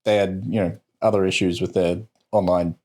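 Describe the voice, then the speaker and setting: A man with a fairly low voice chats casually as a podcast co-host. He pauses and restarts as he goes, with a dryly ironic touch. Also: flat delivery, darkish tone. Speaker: co-host of a tech news podcast. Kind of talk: casual chat.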